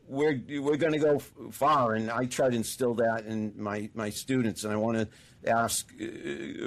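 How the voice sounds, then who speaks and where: A middle-aged man hosting a podcast, chatting with enthusiasm and often restarting and repeating words. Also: lowish voice. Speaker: podcast host. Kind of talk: casual interview conversation.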